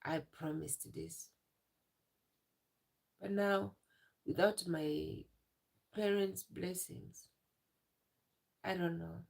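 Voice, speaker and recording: Tearful woman, slow and gravelly. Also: lowish voice, steady tone, a little mellow. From Uganda. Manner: halting, repeats herself. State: tearful, emotional. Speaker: livestreamer. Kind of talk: emotional live stream talk.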